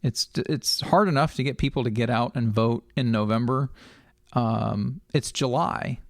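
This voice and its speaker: A man's voice: mid-range voice, measured pace, gravelly, no echo, studio quality. A man hosting a casual interview, talking in a conversational, agreeable way. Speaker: podcast host.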